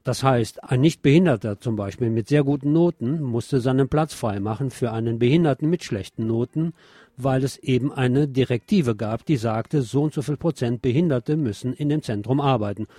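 Mellow male news presenter in a roomy space. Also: mid-range voice, moderate pace, studio quality. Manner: opinionated, explanatory asides. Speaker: radio news presenter and commentator. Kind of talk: scripted news reading with commentary.

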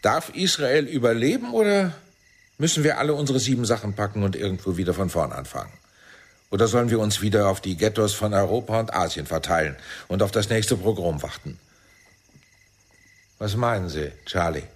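A man acting a shrewd, persuasive intelligence team leader in a German radio play, speaking deliberately and insistently. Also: fairly low pitch, lively intonation, breathy edge. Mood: challenging.

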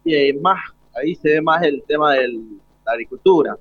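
A man's voice: on the high side, moderate pace, no room sound, lo-fi sound. A young man with an Argentine accent chatting casually as a guest in a live interview. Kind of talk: casual chat.

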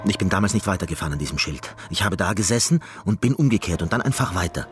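A man's voice: low voice, lively intonation, quick pace, background noise. An actor playing a reclusive, neurotic Canadian pianist in a radio drama, brooding and repeating his phrases compulsively. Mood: musing, intense.

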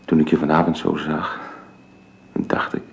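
An actor a radio play playing an earnest, restless suitor, speaking intimately. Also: male voice, a bass voice, completely flat delivery, unhurried, creaky, a little hiss or hum, full character voice. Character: earnest, restless male suitor pressing for closeness.

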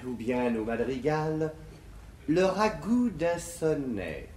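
An actor playing a vain, unctuous salon poet in a classical French stage comedy, declaiming pompously and with affectation. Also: male voice, mid-range voice. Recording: echoey theatre stage, noisy 1950s recording.

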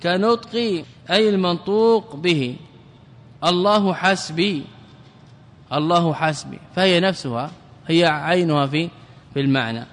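A man teaching an Arabic grammar lesson as a religious scholar. He questions his students, answers himself, and chants verse lines slowly. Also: fairly high pitch, a bit bright, big-room sound.

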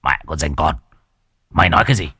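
A crude male thug acted by a male narrator in an audio story, vicious and menacing. He snarls like a street loan shark, with lively swings in pitch and music behind. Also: fast, slightly rough, heavily acted. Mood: snarling threat.

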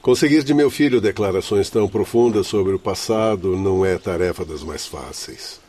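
An actor in a radio soap playing a kindly, noble older father, measured and formal. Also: sits low, a little mellow, husky. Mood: warm, reflective. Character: kindly noble elder doctor, proud father. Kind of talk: radio soap opera acting.

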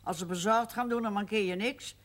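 An actress playing a feisty, witty very old aunt in a television period drama, teasing and cheeky. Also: female voice, medium pitch, fairly quick, close to an everyday voice. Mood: playful, teasing. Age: older.

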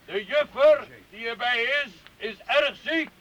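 An actor playing a proud, commanding medieval knight who declaims formally in a Dutch radio play. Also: male voice, very high-pitched, a little slow, fairly bright tone. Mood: formal, bargaining. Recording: dull lo-fi old radio recording.

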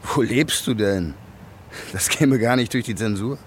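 Actor as a gruff, weary police detective. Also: male voice, on the low side, unhurried, slight background noise, close to an everyday voice. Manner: terse, coarse-mouthed, commanding. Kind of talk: naturalistic German radio drama acting.

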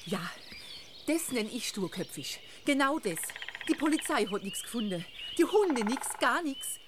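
An exasperated, nagging woman with a high, very animated voice. She scolds emphatically in everyday speech, radio play style. Birdsong is loud around her. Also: unhurried, noisy background. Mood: exasperated, scolding. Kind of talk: radio drama acting.

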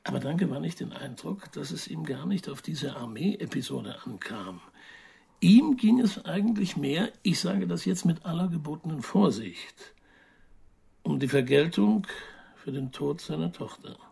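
A very raspy, creaky-voiced journalist in a radio crime drama. He speaks a little high for a man, guarded and touchy, as if always on the defensive. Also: big pitch swings, a little slow, dark tone, heavily acted. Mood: cautious, reflective. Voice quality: gravelly, husky. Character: defensive male journalist under questioning. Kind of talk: naturalistic German radio crime drama acting.

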